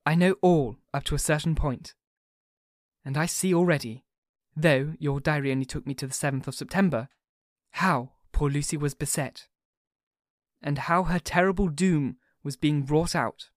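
A male narrator putting on a young woman in an audiobook, grieving yet resolute, who speaks with soft earnest warmth. Her voice sits clearly higher than the narrator's own. The sound is dry and close. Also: moderate pace, dry recording, lightly acted.

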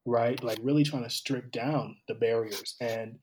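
A man from Cameroon hosting a conversation, talking in a thoughtful, unhurried way and often repeating words as he starts a sentence. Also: medium pitch, fairly even intonation, a little mellow, slight background noise, rough recording. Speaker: podcast host. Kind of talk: casual conversational interview.

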